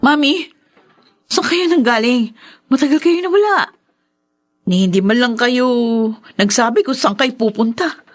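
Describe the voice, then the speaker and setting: An actress playing an anxious, reproachful daughter in a radio drama, speaking in fretful exclamations. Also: female voice, sits high, a little slow, pauses often.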